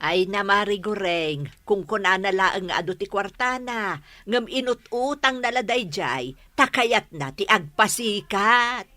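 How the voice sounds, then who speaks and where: An actress playing a chatty, scornful village neighbour in an Ilocano radio comedy drama, speaking with an Ilocano accent. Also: female voice, mid-range voice, unhurried, sharp tone, no room sound. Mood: gossipy, scornful.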